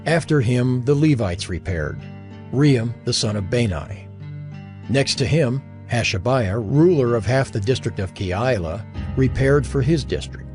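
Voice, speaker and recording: A man reads scripture as a dramatic narrator. His mid-pitched voice moves expressively through solemn, measured phrases, with soft music underneath. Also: animated. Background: background music.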